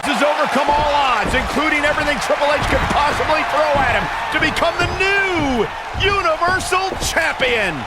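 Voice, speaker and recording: An actor playing an earnest, excitable lead wrestling announcer giving projected, emphatic play-by-play. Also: male voice, very high-pitched, moderate pace. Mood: triumphant, excited. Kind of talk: play-by-play sports commentary. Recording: loud crowd and arena noise.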